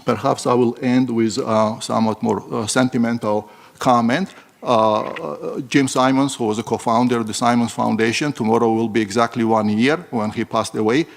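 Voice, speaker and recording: A man speaking on a conference panel about his foundation, halting, with many uhs and repeated words. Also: mid-range voice, steady tone, creaky. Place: large conference hall.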